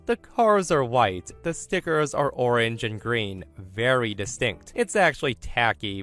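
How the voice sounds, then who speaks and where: A man hosting and narrating online stories in a chatty, animated style with casual commentary. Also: mid-range voice, clean tone. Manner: chatty, acts out quoted dialogue. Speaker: host and narrator of online story videos.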